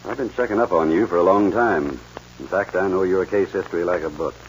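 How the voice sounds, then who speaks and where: An actor playing a fatherly, measured American ranch boss in a 1940s radio western. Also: sits low, lightly acted. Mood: kindly, serious. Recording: muffled, faintly noisy 1940s radio recording.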